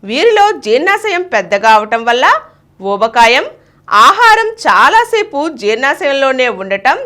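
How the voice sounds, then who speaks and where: Woman reading scripted news, really high voice, pitch moves around a lot. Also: fairly bright tone. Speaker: news reader.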